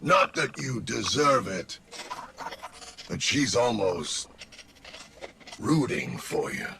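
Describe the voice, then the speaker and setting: A man playing a snide, cynical robotic companion in a video game, with a gravelly voice and a sarcastic, slangy drawl, over background noise. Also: lowish voice, very animated, slow pace, exaggerated voice. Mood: grudging. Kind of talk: video game character dialogue.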